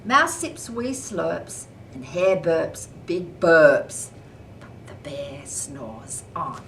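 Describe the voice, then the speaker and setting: Casting: female teacher reading to kids, bright voice, lively swooping intonation, dramatic stretched phrases. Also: low-pitched. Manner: drawn-out, dramatic emphasis on refrains. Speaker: first grade teacher. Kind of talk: expressive storybook read-aloud for children. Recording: distant mic with faint room noise.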